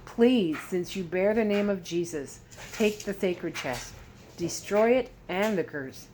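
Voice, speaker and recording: A woman's voice: medium pitch, slow pace, background noise, natural voice. A woman voicing an old sorcerer, an emotional and earnest man, as she reads a story aloud. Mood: pleading. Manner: earnest, pleading, dramatic. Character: frightened old Chaldean sorcerer, desperate, pleading, emotional man.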